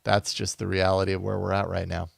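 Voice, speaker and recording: A man's voice: deep voice, monotone, quick pace, vocal fry, no room sound. American man, casual podcast host, dry close sound. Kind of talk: casual tech podcast discussion.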